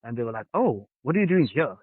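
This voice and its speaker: A man's voice: mid-range voice, big pitch swings, quick pace, no echo. Man, Johannesburg South African accent, earnest storyteller, narrow phone-like sound. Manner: earnest, reflective, acts out dialogue. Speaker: podcast guest. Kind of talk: personal storytelling.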